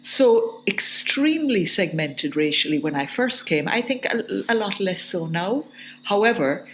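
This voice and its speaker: A woman's voice: medium pitch, very animated, unhurried, fairly bright tone, a little hiss or hum, poor audio. A middle-aged woman, a literature professor answering interview questions in a reflective, hesitant way. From Jamaica. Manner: thoughtful, hesitant, with restarts.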